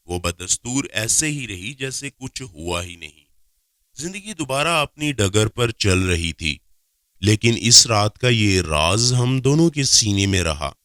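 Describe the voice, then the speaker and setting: A man's voice: fairly low pitch, a bit bright, dry recording, clean studio sound. A man reading a scripted first-person story aloud as a narrator.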